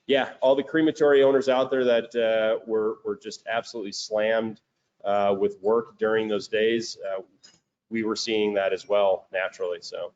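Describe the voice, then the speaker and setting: A man with a thick Chicago accent and a somewhat low voice talks casually as an interview guest over a video call. He speaks in a relaxed way, with lots of fillers and false starts. The call audio is dull and lo-fi. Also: moderate pace. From Chicago, United States. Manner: relaxed, many fillers and restarts. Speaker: guest, crematory and metal recycling business owner.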